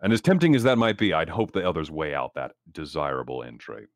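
A man roleplaying a calculating corporate representative, talking in wordy, formal, deliberate phrases as he improvises. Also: a bass voice, lively intonation, no echo, clean studio sound. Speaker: tabletop game player. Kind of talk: in-character improvised roleplay dialogue.

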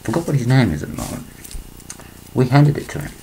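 A man's voice: mid-range voice, big pitch swings, quick pace, creaky, dark tone, a little hiss or hum, poor audio. Older man reading and commenting. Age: 60s. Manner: rambling, breaks off his reading to comment. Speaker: vlogger. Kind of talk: reading a letter aloud with personal asides. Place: small room indoors at home.

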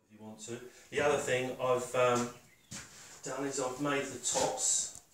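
An older man giving a casual step-by-step demonstration, halting and matter-of-fact as he thinks aloud while working. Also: mid-range voice, little pitch movement, a bit bright. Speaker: woodworker demonstrating his build. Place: concrete-block workshop and house room. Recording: echoey room, faint noise, handling clicks.

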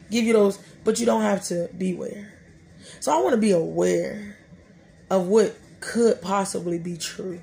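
Animated woman preaching to herself. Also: medium pitch, vocal fry. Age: 30s. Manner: animated, preachy, talks to herself, laughing asides. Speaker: podcast host. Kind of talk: casual faith talk. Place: small room, indoors before a dark curtain.

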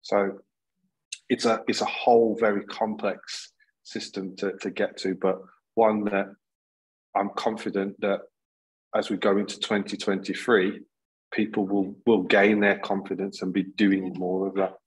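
A man's voice: fairly low pitch, little pitch movement, unhurried, husky, poor audio. A man in his thirties on a video call, speaking earnestly as a panel guest, often restarting and repeating words. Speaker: panel guest, school leader. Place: plain indoor room.

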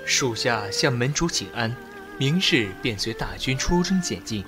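An actor playing a reserved, melancholy, deferential general of ancient China in a period audio drama. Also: male voice, mid-range voice, lively intonation, unhurried, lightly acted. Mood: sorrowful. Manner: hesitant, deferential. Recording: long reverb, music under dialogue.

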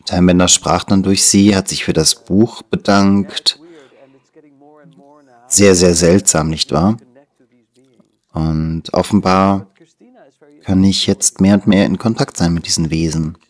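A Dutch man in his sixties with a very low, deep voice talks as an interview guest in a small studio. He is speaking German, which is not his first language, so his Dutch accent comes through. He tells his story thoughtfully and without hurry, close to a lapel mic. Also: fairly even intonation, a little mellow. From Netherlands. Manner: thoughtful, unhurried, gestures while talking. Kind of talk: reflective conversational storytelling.

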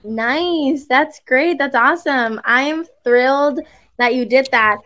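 Young woman English tutor, native Spanish speaker, high bright voice, relaxed unhurried pace, chatty and giggly over a video call. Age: young adult. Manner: bubbly, chatty, laughs often. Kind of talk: casual chat on a video call. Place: indoor room.

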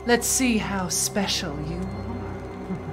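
A woman's voice: mid-range voice, slow pace, over music, natural voice. An actress playing an imperious, towering aristocratic vampire lady in a video game, theatrical and condescending. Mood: menacing amusement. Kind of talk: dramatic video game cutscene acting.

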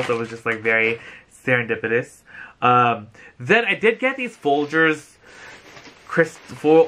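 Bubbly, bright-voiced man on a sofa. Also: a little high, a little hiss or hum. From Philippines. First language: Tagalog. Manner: chatty, bubbly and animated, gesturing with his hands. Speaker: vlogger. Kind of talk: casual thrift haul chat. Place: living room, seated on a sofa.

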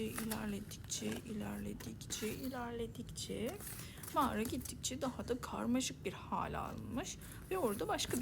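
Soft-spoken woman telling a bedtime story. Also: a little high, vocal fry, background noise. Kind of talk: ASMR bedtime story narration.

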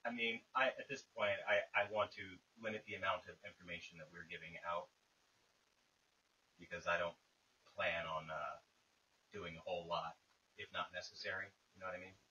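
A man's voice: low voice, steady tone, moderate pace, sharp tone, far from the mic. Man on a hissy, lo-fi phone call. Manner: insistent, wordy, formal phrasing. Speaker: caller. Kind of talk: tense, argumentative phone conversation.

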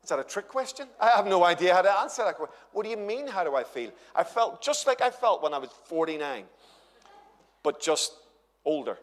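A man's voice: sits high, big pitch swings, brisk. A man, preaching at a church conference with a chatty, humorous storytelling manner. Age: 50s. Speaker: guest conference speaker. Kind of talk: conference sermon to a live audience. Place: large church hall with stage and audience. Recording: long hall reverb.

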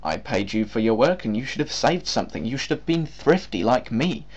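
A man's voice: medium pitch, very animated, a little fast. A man reading a story aloud, voicing a smug, affable male capitalist who talks down to his workers with a condescending politeness.

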